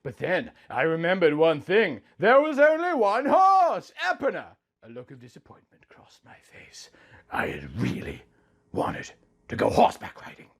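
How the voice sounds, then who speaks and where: Man playing a lovestruck young bride, theatrical swings in pitch. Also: huge pitch swings, normal speed, heavily acted. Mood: dreamy, contented. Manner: swooning, overwrought. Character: young woman narrating her honeymoon, breathy, lovestruck. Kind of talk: melodramatic romantic fan fiction reading.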